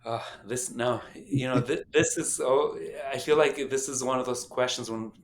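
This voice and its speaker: A man's voice: mid-range voice, steady tone, normal speed. A middle-aged man whose first language is Albanian, animatedly telling stories in a casual podcast chat with restarts and chuckles. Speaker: podcast host and company founder.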